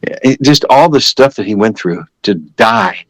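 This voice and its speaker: A man's voice: fairly low pitch, animated, unhurried, a bit bright, no echo, low-quality recording. An older man giving a personal religious testimony as a guest, telling it in an emphatic, repetitive, conversational way.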